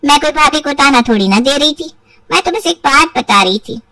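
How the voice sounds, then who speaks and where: A woman plays a taunting sister-in-law in a cartoon family story. Her voice is high and complaining, full of theatrical sarcasm. It is dry, with the microphone a little way off. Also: expressive, normal speed, sharp tone, no room sound, distant mic, full character voice. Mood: sarcastic taunting. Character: spiteful, whining married younger sister-in-law, forever taunting. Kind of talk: acted Hindi moral story for cartoon.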